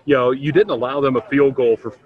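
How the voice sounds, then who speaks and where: A man who is the team's broadcaster, asking a coach postgame questions in a smooth, stat-filled delivery. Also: mid-range voice, fairly even intonation, fairly quick, narrow, tinny audio. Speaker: team broadcaster interviewer.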